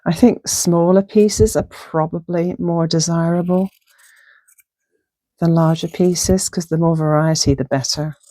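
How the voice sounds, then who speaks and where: A middle-aged woman chatting casually through a sewing project in her home sewing room, hoarse from a chest virus, upbeat and friendly. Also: on the low side, fairly even intonation, unhurried, warm tone, dry recording, poor audio. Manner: chatty and upbeat, talks while working. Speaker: sewing vlogger. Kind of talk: casual craft tutorial talk. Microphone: clip-on lapel mic.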